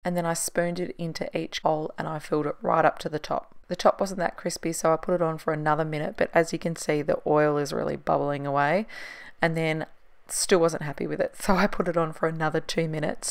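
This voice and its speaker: Low, gravelly woman chatting. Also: little pitch movement, brisk. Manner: chatty, self-deprecating, laughs at herself. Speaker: cooking vlogger. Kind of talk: casual voice-over narration.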